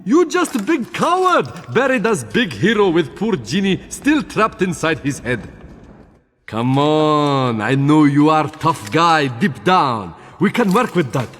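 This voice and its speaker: An actor voicing a boastful, indignant genie in a video game, speaking theatrical broken English. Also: male voice, fairly high pitch, sing-song, takes it slowly, fairly bright tone, big-room sound, slight background noise, heavily acted. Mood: cajoling, rousing. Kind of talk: animated game character dialogue.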